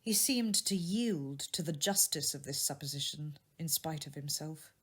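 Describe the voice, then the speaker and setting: A woman's voice: sits low, animated, normal speed, fairly bright tone, breathy edge. A woman reading scripted literary narration as an audiobook narrator.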